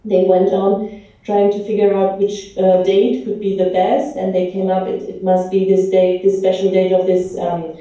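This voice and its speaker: A woman who grew up in Romania speaks slowly and haltingly, filling her pauses with ums. Her voice is very dark and mellow. She sounds far from the microphone in an echoey pub room, and the sound is dull. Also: mid-range voice, level tone. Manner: hesitant, many ums and restarts. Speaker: film director. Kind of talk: interview answers.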